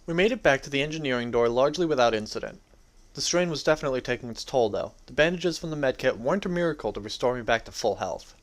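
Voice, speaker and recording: A man's voice: medium pitch, lively intonation, quick pace. A young adult man giving a dramatic reading of his own first-person story.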